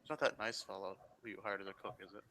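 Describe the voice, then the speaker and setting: A man talks quickly and casually as he runs a roleplay game. His voice is captured very quietly, with faint background noise and clicking. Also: low-pitched, fairly even intonation. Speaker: game master.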